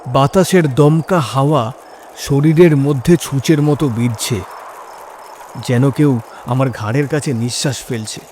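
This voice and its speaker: A man's voice: mid-range voice, measured pace, long pauses, darkish tone, a little hiss or hum. A man narrating a scripted first-person horror story in a suspenseful, dramatic delivery. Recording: music behind the voice.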